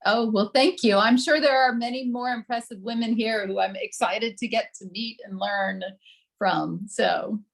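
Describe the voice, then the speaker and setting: A woman in her forties introducing herself casually on a video call, talking in a relaxed way with many ums and pauses. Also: fairly high pitch, gravelly. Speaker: new member introducing herself.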